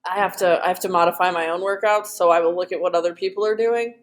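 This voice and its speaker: A woman's voice: fairly low pitch, steady tone, fast talker. A woman interviewer chatting casually with a joking, self-deprecating manner. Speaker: interviewer and fitness coach. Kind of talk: casual chat.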